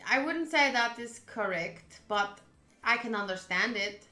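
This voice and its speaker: A chatty, expressive woman, a native Afrikaans speaker, streaming a game with casual talk and reading aloud. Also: on the high side, unhurried. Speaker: streamer playing a visual novel. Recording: roomy sound with music underneath. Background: game music.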